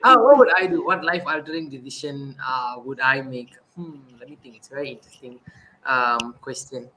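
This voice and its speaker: A man's voice: mid-range voice, fairly quick, sharp tone, poor audio. A man hosting a casual online talk show, upbeat and laughing often, with frequent fillers and restarts. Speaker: host.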